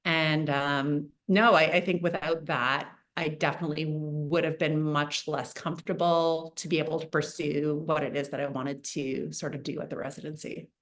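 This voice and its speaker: A woman's voice: low voice, steady tone, normal speed, hardly any pauses. A young adult woman from Canada, a guest artist talking casually in an interview, with many fillers and restarts.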